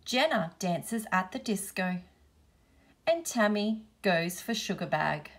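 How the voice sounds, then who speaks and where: A woman reads a children's picture book aloud. Her pace is slow, with careful, deliberate phrasing. The voice sits at an average female pitch. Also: lively intonation. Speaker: storybook reader, teacher.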